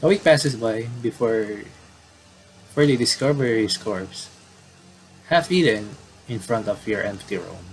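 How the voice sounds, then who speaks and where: Low-voiced man with noisy background. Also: animated. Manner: halting, often repeats and rereads words. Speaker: gaming video commentator. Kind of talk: casual playthrough commentary, reading visual novel text aloud. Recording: clearly noisy home recording.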